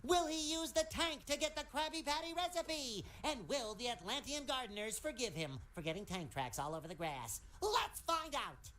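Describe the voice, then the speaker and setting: A woman's voice: high-pitched, lively intonation, moderate pace, few pauses, fairly bright tone, a little hiss or hum. A woman narrating a cartoon video game in an upbeat, scripted storytelling manner.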